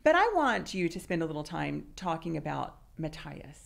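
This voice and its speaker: A woman's voice: low-pitched, very animated, normal speed, gravelly. A woman in her sixties hosting a podcast, chatting casually and telling anecdotes. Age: 60s. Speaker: podcast host. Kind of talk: casual interview chat.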